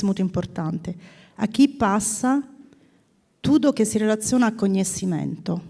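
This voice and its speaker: A woman in her fifties with a heavy Italian accent gives a panel talk in a soft, mellow tone. She slides between languages mid-sentence and keeps clearing her throat, with an even, middling pitch. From Italy. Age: 50s. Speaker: panel speaker, corporate people director.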